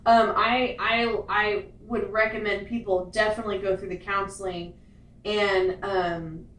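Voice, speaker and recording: A woman with a deep voice, chatting casually as a co-host in a frank, rambling way with many fillers. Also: steady tone, a little slow, a bit bright. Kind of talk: casual chat. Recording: roomy, distant mic, faint noise.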